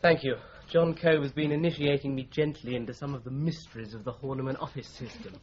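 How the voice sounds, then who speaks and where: An actor playing a curious, courteous new solicitor in a radio drama, lightly wry, with an English accent. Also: male voice, mid-range voice, steady tone, fast talker, a crowd in the background, close to an everyday voice. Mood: businesslike. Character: curious, polite newcomer at a stuffy solicitors' office. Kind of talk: 1980s radio drama acting. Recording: dull, noisy old radio broadcast.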